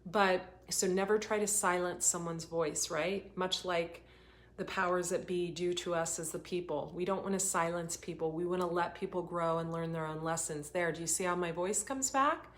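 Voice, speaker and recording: A woman hosting a live oracle card reading, talking in an earnest, rambling way, her voice getting hoarse. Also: fairly low pitch, moderate pace, a bit bright. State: voice going hoarse. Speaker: intuitive reader hosting a livestream.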